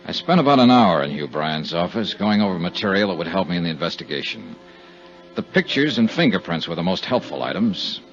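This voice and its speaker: A man's voice: low-pitched, very animated, brisk. A man with an American accent acting as a dry, clipped, matter-of-fact insurance investigator who narrates a 1950s radio detective drama. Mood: crisp, businesslike. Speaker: lead actor and narrator. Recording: muffled vintage radio recording with music.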